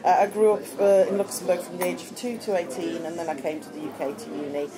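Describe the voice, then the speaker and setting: A woman's voice: fairly low pitch, steady tone, quick pace, poor audio. A middle-aged woman from Italy with a British accent, a chatty, self-deprecating guest on a podcast. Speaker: guest, campaigner. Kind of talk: casual chat. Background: crowd chatter.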